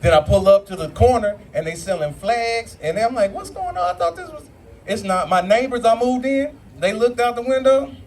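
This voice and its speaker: A brash man with a high voice tears through a profane stand-up set. He keeps jumping into other characters' voices for his bits. A faint club hum sits underneath. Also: lively intonation, moderate pace, a little mellow. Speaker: stand-up comedian. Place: comedy club at a brew pub.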